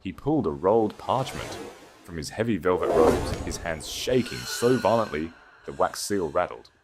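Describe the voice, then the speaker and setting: A man's voice: sits low, big pitch swings, normal speed. A man reading a scripted fantasy romance as a dramatic audiobook narrator, with music behind the narration.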